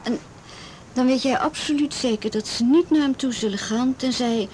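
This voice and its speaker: A slightly noisy radio play recording carries a frightened, guarded teenage girl acted by a woman. Her voice sits on the high side and she speaks haltingly, as if unsure of each step. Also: darkish tone, lightly acted. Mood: cautious, tentative. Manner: hesitant, faltering. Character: frightened, wary abused teenage girl confiding in a friend. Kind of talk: naturalistic radio drama acting.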